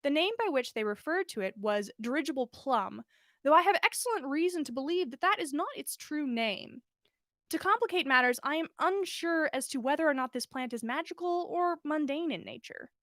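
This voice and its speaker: Woman plays polite correspondent, formal and measured, higher register, close dry storybook narration. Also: animated, fairly bright tone, no room sound. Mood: courteous. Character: polite, formal letter-writer seeking a plant.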